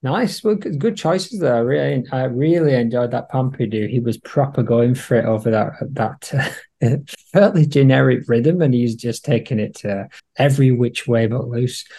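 A man's voice: medium pitch, normal speed, warm tone. A man hosting a casual music chat in a jokey, informal manner. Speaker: podcast host.

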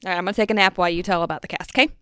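A woman co-hosting a casual movie podcast, playful and quick to laugh. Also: on the low side, steady tone, fairly bright tone, smooth, no room sound, studio quality. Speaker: podcast co-host.

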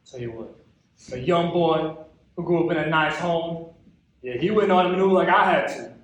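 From far off in a very echoey hall comes an actor's portrayal of a bitter, wounded young American man. His voice is high for a man. He delivers a theatrical monologue with colloquial phrasing, heavy dramatic pauses and impassioned hurt. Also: natural voice. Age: young adult. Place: theatre stage at a festival.

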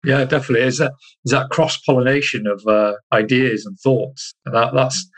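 A man from Iceland chatting casually as an interview guest, halting and repeating himself as he searches for words. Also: mid-range voice, steady tone, fairly bright tone. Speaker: interview guest, army soldier.

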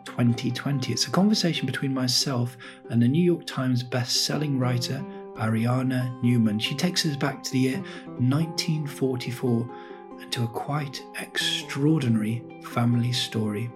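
A man hosting a history podcast, interviewing in an articulate, measured, conversational way with literary phrasing. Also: mid-range voice, music in the background.

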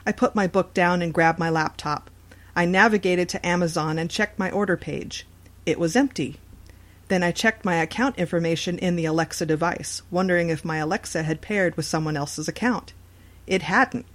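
A woman's voice: fairly low pitch, normal speed. A woman reading a scripted first-person horror story as its narrator.

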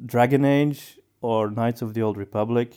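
A man co-hosting a podcast in casual discussion, with halting phrasing that often doubles back on words. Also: medium pitch, fairly even intonation, warm tone, clean tone. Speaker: podcast co-host.

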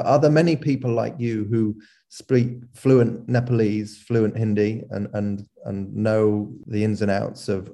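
A man interviewing a guest, asking questions in a halting way with repeated words. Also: fairly low pitch, gravelly, warm tone.

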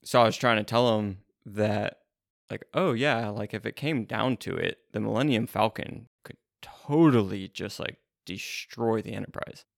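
Male co-host with a gravelly edge, awkward joking storyteller, dry studio sound. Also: fairly low pitch, a little slow, no echo. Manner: awkward, self-mocking storyteller. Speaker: podcast co-host. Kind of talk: casual chat about the Bible. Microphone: close podcast microphone.

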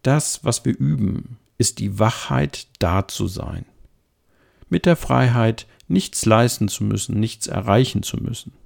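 A man calmly giving an introduction to a body scan meditation, speaking slowly and gently. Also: on the low side, vocal fry. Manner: slow, gentle, soothing. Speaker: meditation guide.

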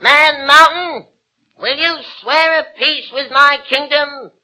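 Pompous, flustered tiny king, high and piercing, old radio play. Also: fairly even intonation, takes it slowly, bright, forceful tone, exaggerated voice, rough recording. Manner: pompous, fussy, easily flustered. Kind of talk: 1940s radio acting. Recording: old radio broadcast with music.

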